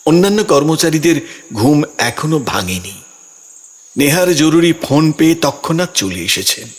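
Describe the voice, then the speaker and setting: A man's voice: fairly high pitch, big pitch swings, moderate pace, slightly rough. A man narrating a scripted audio story in a dramatic storytelling style. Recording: music behind the voice.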